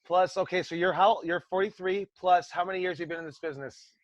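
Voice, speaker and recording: A man's voice: sits high, steady tone, fast, dry recording, muffled recording. A man in his forties, a wrestling coach running a casual online class chat, loose and joking with casual swearing over a video call. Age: 40s.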